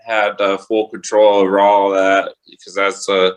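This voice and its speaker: A man in a casual radio-show chat, speaking in a hesitant, halting way with repeats. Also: lowish voice, completely flat delivery, smooth.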